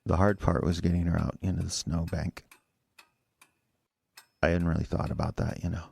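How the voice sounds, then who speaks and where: An audio drama killer, a husband making his confession. He rambles and stammers, defensive and agitated, with a creaky, gravelly edge to his voice. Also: low voice, little pitch movement, normal speed, darkish tone, heavily acted. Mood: weary, subdued.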